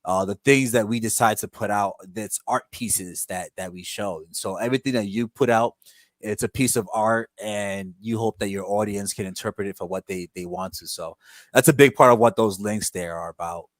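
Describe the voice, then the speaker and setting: A man from Ghana, a guest in a casual chat, speaking calmly and measured, with filler words. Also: on the low side, dry recording.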